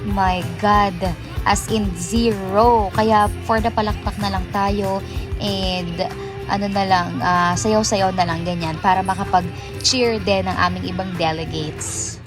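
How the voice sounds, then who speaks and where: A Filipino woman gives a casual vlog voice-over. Her voice sits at a medium pitch and she talks in a chatty, effusive way. Music plays clearly underneath her. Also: steady tone, slow pace. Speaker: vlogger narrating an event recap. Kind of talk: casual vlog voice-over mixing Tagalog and English.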